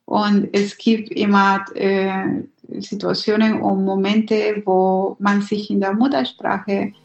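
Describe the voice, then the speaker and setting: A woman's voice: mid-range voice, little pitch movement, slow, gravelly, a little mellow, echoey room, dull audio. A middle-aged woman whose first language is Spanish, speaking German in a thoughtful, hesitant interview with many fillers. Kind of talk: reflective personal interview.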